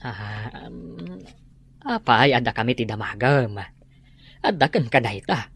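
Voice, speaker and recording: A man acting a gentle, devout rural father in a radio drama, halting, with a Filipino accent. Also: medium pitch, animated, creaky, a little hiss or hum, exaggerated voice. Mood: thoughtful.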